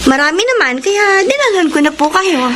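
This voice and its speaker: High-pitched, friendly young Filipino woman. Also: very animated, normal speed. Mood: cheerful. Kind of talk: radio drama acting. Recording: noisy ambient radio drama scene.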